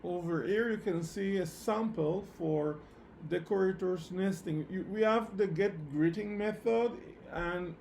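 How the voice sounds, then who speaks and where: A man with a fairly high voice teaches programming live online, speaking English as a non-native language. His delivery is slow and halting, with words often repeated. Faint noise sits behind his voice. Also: gravelly, a little mellow. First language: not English. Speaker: programming instructor. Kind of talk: live online technical lecture. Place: online meetup session at a computer.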